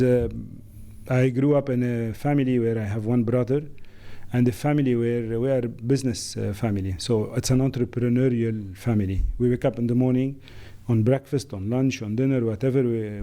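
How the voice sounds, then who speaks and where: A middle-aged man from Lebanon, a managing director answering interview questions in a thoughtful, halting way with many fillers. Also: mid-range voice, dark tone.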